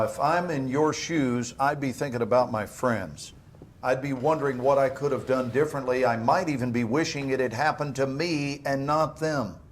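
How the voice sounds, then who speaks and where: An actor playing a cold, dismissive government interrogator in a game cutscene. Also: male voice, mid-range voice, a little hiss or hum, lightly acted. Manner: clipped, dismissive, authoritative.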